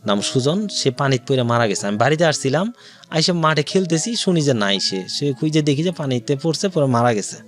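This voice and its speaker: A man's voice: fairly high pitch, very animated, fairly quick. A man with a Bangladeshi accent tells his own life story as an interview guest, in detail and with quoted dialogue.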